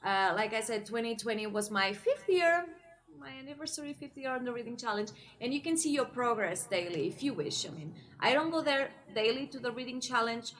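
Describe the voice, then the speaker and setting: A woman's voice: fairly high pitch, animated, brisk, a bit bright. A young woman from Portugal chatting casually to camera about her reading, with many fillers and frequent laughs. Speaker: book vlogger.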